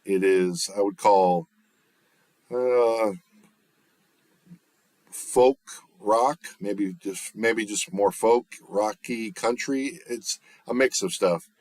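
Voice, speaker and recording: A man with a bass voice chatting casually on his livestream, relaxed and rambling with lots of fillers and restarts. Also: drawn-out words, long pauses, no echo. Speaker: livestreamer and recording artist. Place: home, off-grid.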